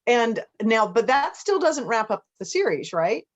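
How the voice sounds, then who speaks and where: A woman's voice: fairly high pitch, very animated, a little slow, highs cut off. A woman hosting a casual interview, chatting in a friendly, appreciative way. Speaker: interview host.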